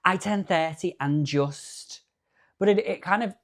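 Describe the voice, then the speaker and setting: A man in his thirties co-hosting a podcast, chatting in an animated, campy way. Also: high-pitched, measured pace. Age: 30s. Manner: animated, chatty, camp asides. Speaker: podcast co-host. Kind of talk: casual chat.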